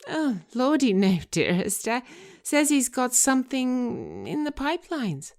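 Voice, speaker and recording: A woman's voice: high-pitched, big pitch swings, measured pace, voice pushed up, full character voice. A woman voicing an affected, evasive woman, fond and hesitant but bossy, in a scripted screenplay reading.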